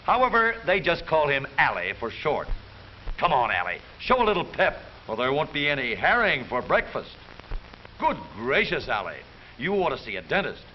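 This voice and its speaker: An American man delivers jaunty 1930s newsreel narration in a fairly high voice. His theatrical, wisecracking lines swing widely in pitch. The old soundtrack is dull, with a light hiss. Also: huge pitch swings, moderate pace.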